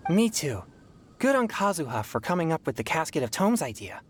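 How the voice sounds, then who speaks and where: A woman's voice: deep voice, sing-song, a little fast, very noisy. A woman voicing an earnest, protective teenage boy exorcist in a video game. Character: earnest, responsible boy exorcist in his teens.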